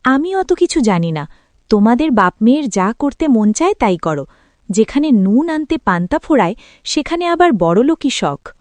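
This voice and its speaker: A careworn cartoon mother who frets about money. Her high voice rises and falls widely as she worries and scolds, with music underneath. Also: very animated, moderate pace, a little mellow, dry recording. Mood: disapproving. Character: careworn, practical, fretful mother of a poor family.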